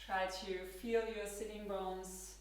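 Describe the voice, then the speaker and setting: A woman with an Austrian accent, a native German speaker, leading a guided yoga class with calm, gentle instructions. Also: mid-range voice, takes it slowly, distant mic. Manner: calm, gentle cueing with soft laughs. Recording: roomy echo, from across the room.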